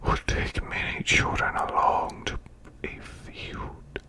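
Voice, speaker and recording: A man voicing a male demon boss in an ASMR bedtime reading, with a breathy, rasping, growled whisper and slow, deliberate storytelling. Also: lightly acted. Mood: hushed, soothing. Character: male demon boss, growling while lulling listener to sleep.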